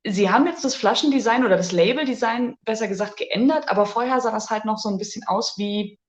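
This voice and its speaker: A woman co-hosting a casual livestream tasting, lively and chatty. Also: on the high side, fast, echoey room. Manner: lively, chatty, laughs easily. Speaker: co-host.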